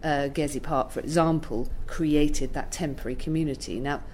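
Low voiced woman, thinking out loud, lots of restarts, slight hiss behind. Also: steady tone, moderate pace. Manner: hesitant, many restarts, earnest. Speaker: arts curator, interview guest. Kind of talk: thoughtful conversational interview. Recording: faint background noise.

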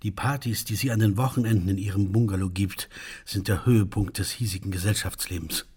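A man's voice: on the low side, steady tone, fairly quick, darkish tone, husky. An actor playing a lovesick, tormented man with florid, theatrical speech in a satirical radio play. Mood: swooning, pained.

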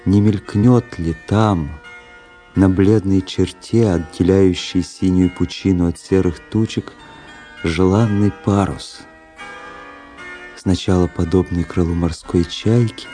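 Brooding officer narrator, very low voice, unhurried literary delivery, radio drama, musical backing. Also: male voice, fairly even intonation, mellow, faint music behind. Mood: restless, wistful. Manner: reflective, literary, deliberate. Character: brooding, world-weary young officer recounting his own past.

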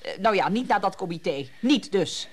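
A woman's voice: medium pitch, lively intonation, moderate pace, breathy edge. A woman playing an indignant, suspicious, well-to-do wife in a radio play, fussy, bossy and insistent. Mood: suspicious, nagging.

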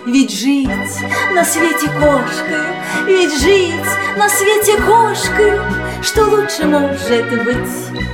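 A woman's voice: extremely high pitch, animated, takes it slowly, roomy sound, loud music behind the voice, full character voice. A woman playing a sweet, vain, coquettish fluffy cat, singing in a musical audio play for children. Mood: coy, self-satisfied. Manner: coquettish, self-admiring. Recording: studio track with musical accompaniment.

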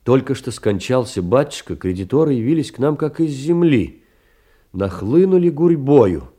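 An actor playing a narrator of a literary memoir in an audio drama, telling it in a dramatic, deliberate, theatrical way. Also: male voice, mid-range voice, lively intonation, warm tone, breathy edge. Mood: sorrowful, nostalgic. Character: male narrator reading a young woman's sorrowful memoir.

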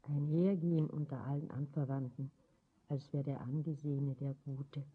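An actress playing a bitter, reproachful older wife in a radio drama, speaking with formal, accusing emphasis. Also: female voice, deep voice, flat delivery, normal speed, breathy edge. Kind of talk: poetic radio drama acting. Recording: thin phone-like lo-fi radio recording.

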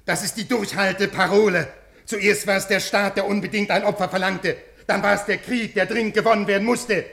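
A desperate, educated man of fifty in a 1950s radio drama. His voice is gravelly and husky, and he pleads earnestly, sounding like a worn-out scholar at the end of his rope. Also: high-pitched, fairly even intonation, brisk, a bit bright, full character voice. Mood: bitter, agitated. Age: 50s. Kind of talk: 1950s German radio play acting.

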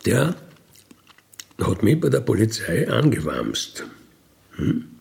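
A man's voice: mid-range voice, huge pitch swings, slow pace, vocal fry, darkish tone. A middle-aged or older man with an Austrian accent, candidly recalling his own life in a colloquial, matter-of-fact, unapologetic way. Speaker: ex-convict interviewee telling his life story. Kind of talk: candid conversational recollection.

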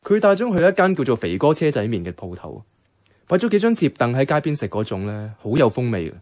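An actor playing a mild, wry young man narrating his life as a reluctant flatmate in a Cantonese radio drama, with a Hong Kong accent and a casual, understated delivery. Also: medium pitch, quick pace, lightly acted. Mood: chatty, relaxed. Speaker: narrator and lead character. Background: background music.

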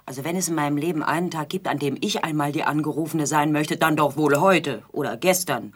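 An actress playing an aggrieved, nagging newlywed bride in an absurdist radio play, theatrical and pointed, with a husky voice. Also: female voice, deep voice, fairly quick. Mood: scornful, aggrieved. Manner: theatrical, pointed, sardonic repetition.

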